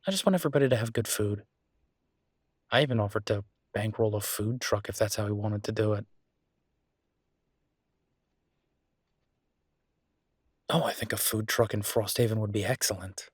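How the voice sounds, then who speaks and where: Husky, chatty man acting as easygoing boyfriend restaurateur. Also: lowish voice, fast talker, dry recording, clean studio sound. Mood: earnest, enthusiastic. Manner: chatty, animated asides, emphatic repetition. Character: werewolf boyfriend, passionate easygoing male restaurateur. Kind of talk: conversational one-sided roleplay audio drama.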